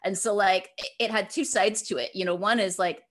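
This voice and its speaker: A woman gives conversational answers as an interview guest. Her voice sits at an average pitch for a woman. She speaks informally and with emphasis, in an animated, storytelling way. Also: brisk. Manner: emphatic, informal, acts out imagined dialogue. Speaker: guest author and podcaster.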